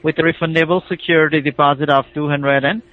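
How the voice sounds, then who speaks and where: A man's voice: fairly high pitch, fairly even intonation, fast. A man with an Indian accent talking over the phone as a caller, explaining a procedure in an insistent, repetitive, persuasive way. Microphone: telephone call. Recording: tinny, band-limited phone line.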